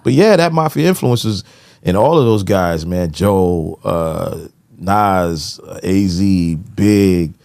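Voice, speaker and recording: A man's voice: low voice, expressive, slow pace, gravelly, darkish tone, dry recording, studio quality. A man, hosting a casual podcast and telling laid-back stories with lots of "you know what I'm saying". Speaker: podcast host and producer.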